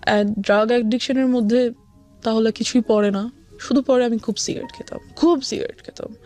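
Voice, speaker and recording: A woman's voice: fairly high pitch, normal speed, darkish tone. A young woman with a Bangladeshi accent telling personal life experiences as a guest, often slipping into English. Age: young adult. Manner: code-switches often between Bengali and English. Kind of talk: confessional personal storytelling, mixing in English. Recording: music under the voice. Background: background music.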